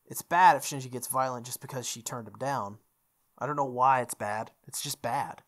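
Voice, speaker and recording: A woman's voice: very deep voice, normal speed. A woman reading a visual novel aloud as a gaming host, acting out the dialogue and sometimes stumbling and rereading. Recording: music playing behind the voice. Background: game music.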